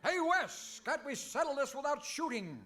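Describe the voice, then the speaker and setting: An American actor playing an exasperated, earnest masked cowboy hero in a comic old-time radio western. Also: male voice, very high voice, lively intonation, moderate pace, bright, forceful tone, full character voice. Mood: pleading, earnest. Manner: earnest, long-suffering.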